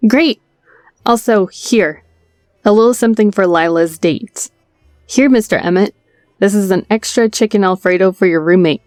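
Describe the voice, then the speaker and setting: A woman playing a chummy, efficient, slyly knowing waitress in an audio roleplay, brisk and polite. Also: medium pitch, darkish tone, no echo, studio quality, soft background music, natural voice.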